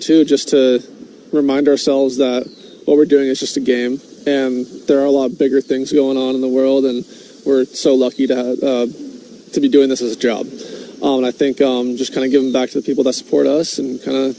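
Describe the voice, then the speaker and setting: A young man in his twenties, a pro baseball pitcher, answering interview questions casually, with many fillers and restarts. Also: flat delivery, dark tone, a little hiss or hum, poor audio. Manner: halting, many "I mean" and "I think" fillers.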